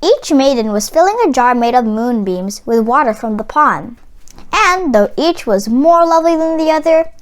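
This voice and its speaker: A woman reading a children's storybook aloud in a careful, expressive storytelling delivery. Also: high voice, moderate pace. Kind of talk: expressive scripted storybook narration.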